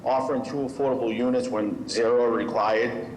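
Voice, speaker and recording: A man's voice: medium pitch, fairly even intonation, fairly quick, a little mellow, slight background noise. A man giving plain, emphatic public comment at a hearing. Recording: echoey call audio.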